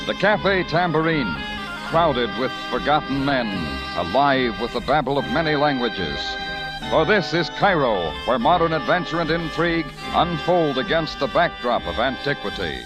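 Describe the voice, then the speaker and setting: A man's voice: medium pitch, sing-song, moderate pace. A man announcing an old-time radio show in a polished, declamatory style. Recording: old radio broadcast with music.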